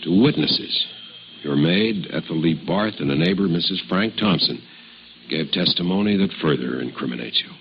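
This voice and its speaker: Very deep-voiced stern prosecutor. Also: male voice, expressive, gravelly. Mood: stern, insistent. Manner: formal, forceful, deliberate. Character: stern, pressing deputy district attorney interrogating a murder suspect. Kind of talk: 1950s radio drama acting. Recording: muffled, noisy old radio recording.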